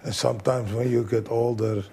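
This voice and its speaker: A man, a fight trainer, telling animated stories in broken English as an interview guest. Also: medium pitch, moderate pace, vocal fry. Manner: animated storyteller, broken English, acts out quotes. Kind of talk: casual storytelling interview.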